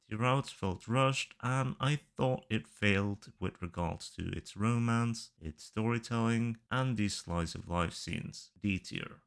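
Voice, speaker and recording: A man narrating opinionated reviews in a matter-of-fact, measured way, as a video creator. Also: fairly low pitch, clear, resonant tone. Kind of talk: opinionated review commentary, semi-scripted narration.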